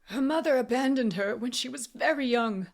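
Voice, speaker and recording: A woman voicing a short, frightened, tearful man who pleads in halting gasps, in an audiobook. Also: high voice, gravelly, voice pushed up. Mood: sorrowful. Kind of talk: audiobook character voice.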